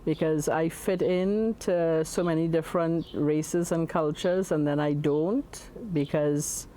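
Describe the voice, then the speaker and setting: A woman's voice: low-pitched, fairly even intonation, slow, mellow, a little hiss or hum. A woman giving candid testimony in a documentary. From Trinidad. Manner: candid, uneven pace. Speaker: documentary interviewee.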